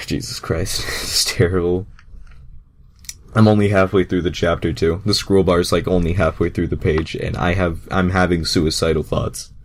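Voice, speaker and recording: A man's voice: sits low, fairly even intonation, normal speed, slight background noise. A man narrating fan fiction casually as an amateur reader, stumbling over words and dropping sweary asides.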